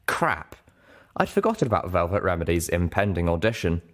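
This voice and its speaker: A man narrating an audiobook as an earnest, self-doubting young mare, in a measured, deliberate way. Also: low-pitched, very animated. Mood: anguished, self-doubting. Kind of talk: scripted first-person audiobook narration.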